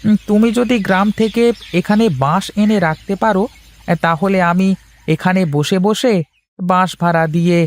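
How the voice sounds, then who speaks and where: A man's voice: high voice, fairly even intonation, moderate pace. A man voicing a respectful, persuasive younger friend in a cartoon story, explaining things in a coaxing way. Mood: persuading. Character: deferential, persuasive male friend in town with business ideas.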